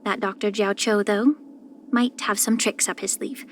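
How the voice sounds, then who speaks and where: A woman voicing a poised, soft-spoken, subtly sly young female healer in a video game, with measured, gentle, composed delivery. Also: mid-range voice, fairly even intonation, slight background noise, close to an everyday voice. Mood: calm, knowing. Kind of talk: scripted character voice lines.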